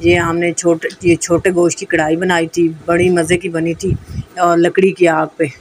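Pakistani woman vlogger, fairly even pitch. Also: moderate pace. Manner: chatty, addressing viewers directly. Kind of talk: casual vlog voice-over. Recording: noticeable background noise.

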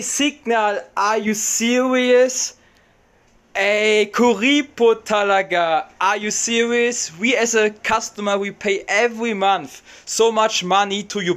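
A young man from Germany with a German accent, talking in an excitable, casual vlog style from a small room, close to the camera. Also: high voice. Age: young adult. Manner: excitable, mixes in Tagalog words. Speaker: vlogger.

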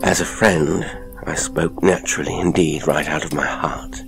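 A man voicing an earnest, gentle priest in an audiobook, speaking in a measured, insistent way. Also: very deep voice, fairly even intonation, creaky, music in the background. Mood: earnest, soothing. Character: earnest, gentle priest counselling a tormented friend.

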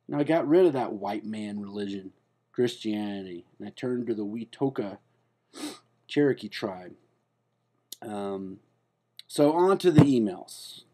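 Guy doing a rambling defensive dude, low mellow voice, lots of ums and restarts. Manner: hesitant and rambling, full of fillers and restarts; deadpan, earnest delivery of absurd claims. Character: rambling, defensive, self-important adult man. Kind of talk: comedic spoof monologue to camera.

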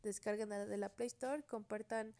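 A woman's voice: medium pitch, steady tone, normal speed, mellow. A woman with a Mexican accent giving a casual, plain, step-by-step narration for an app tutorial video.

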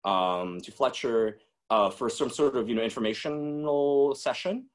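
A man's voice: medium pitch, unhurried. A man in his twenties from the Czech Republic, speaking as a webinar guest in a loose, casual way with many "you know"s and restarts, heard over a video call. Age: 20s.

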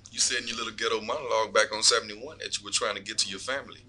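A man's voice: on the low side, steady tone, racing, sharp tone. A man playing a smug, corrupt lawman in an audio drama, taunting and profane as he coolly threatens his informant. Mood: cold, taunting. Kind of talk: scripted audio drama dialogue.

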